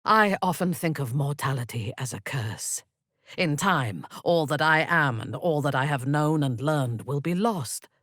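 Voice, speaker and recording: A woman voicing a ruthless, haughty warrior zealot for a video game, speaking in an imperious, measured, menacing way. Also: low voice, animated, a bit bright, dry recording, studio quality, heavily acted. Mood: brooding. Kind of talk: video game character voice lines.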